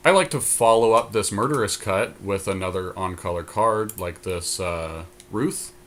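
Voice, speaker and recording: A man's voice: lowish voice, normal speed, a bit bright. A man co-hosting a podcast, giving casual, laid-back commentary on a card game as he thinks aloud. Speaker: podcast co-host. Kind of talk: casual commentary while playing a card draft.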